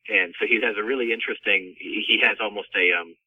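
A man's voice: lowish voice, steady tone, fast, dry recording. A man talking as an interviewed author over a phone line, explaining his book and correcting himself as he goes. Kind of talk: discussion of a co-written book. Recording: tinny phone line, distant.

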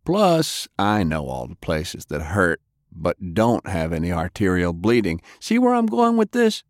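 A man voicing a rough, sardonic interrogator who talks in a folksy, taunting rural American way. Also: deep voice, wildly swooping pitch, measured pace, dry recording, studio quality, full character voice. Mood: menacing, taunting.

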